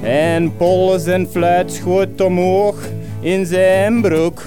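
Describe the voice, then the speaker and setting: Male actor: high, slow, slurred Flemish village doom-prophet drunk. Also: level tone, music in the background. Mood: ranting. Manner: rambling, slurred, sing-song. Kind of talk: comic radio play.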